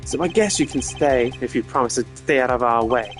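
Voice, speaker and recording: A man's voice: medium pitch, brisk, faint music behind, lightly acted. Man doing a cocky, flippant rival prosecutor. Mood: smug. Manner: casual, smug, taunting. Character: cocky, flippant young male rival prosecutor, evasive suspect.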